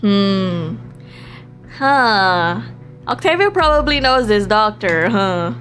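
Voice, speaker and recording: A woman livestreaming a visual novel, reading aloud with expressive, giggly reactions and the odd stumble over words. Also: mid-range voice, slow pace. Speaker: live streamer playing and reading a visual novel. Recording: music playing behind the voice.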